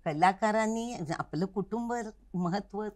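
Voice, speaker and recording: A woman's voice: medium pitch, a little slow, darkish tone. An older woman, talking reflectively as an interview guest in an earnest, instructive manner, stressing her key points. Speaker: interview guest, Kathak dance teacher. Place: recording studio.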